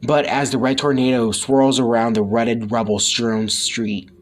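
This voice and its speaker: A man's voice: mid-range voice, flat delivery, a little slow, a little hiss or hum. A man reading a comic book aloud as a dramatic storybook narration. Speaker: reader of a comic read-along.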